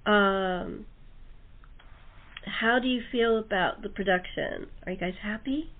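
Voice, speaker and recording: A woman's voice: mid-range voice, takes it slowly. A woman hosting a casual panel chat, talking informally and in a rambling way as she prompts others. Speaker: host and radio-play producer. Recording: phone-like narrow-band sound.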